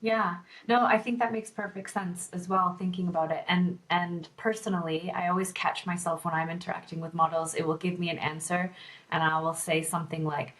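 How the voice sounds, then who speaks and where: A woman's voice: lowish voice, level tone, fairly quick, a bit bright. A woman interviewing a guest on a video call, curious and reflective in a conversational way.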